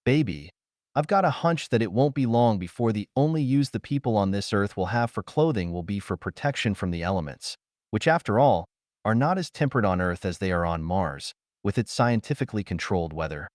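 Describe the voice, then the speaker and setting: An indignant, easily shocked man from a pulp science fiction audiobook, acted out by a male narrator. He is incredulous and emphatic, low-voiced with big swings in pitch. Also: expressive, brisk, darkish tone, no room sound, studio quality, lightly acted. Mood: musing.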